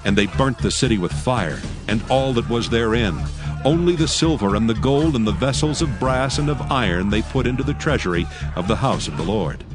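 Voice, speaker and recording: A man narrating scripture in archaic English as a scripted, measured, solemn reading. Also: medium pitch, lively intonation. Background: music.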